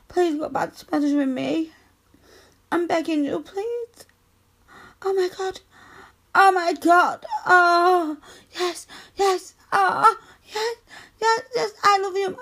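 A very high, slow female voice performed by an actress plays an obedient, pleading adult lover in erotic audio roleplay. She speaks breathily, sliding between moans and begging. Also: fairly bright tone, heavily acted. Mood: desperate begging.

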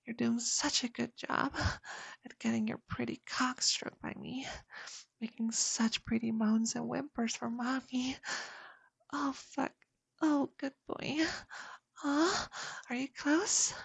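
A woman talks in a hushed, coaxing whisper, very close to the microphone. Her voice sits a little high and carries a husky, gravelly texture. She takes her time, and the sound is dry, with no room around it. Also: dry recording, low-quality recording. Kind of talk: intimate whispered audio roleplay.

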